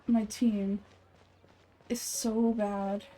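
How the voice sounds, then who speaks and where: A woman's voice: mid-range voice, level tone, extremely slow, warm tone, slight background noise. A woman chatting casually as she plays a game and voices its characters. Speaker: amateur voice actor streaming a game. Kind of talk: casual chat while playing.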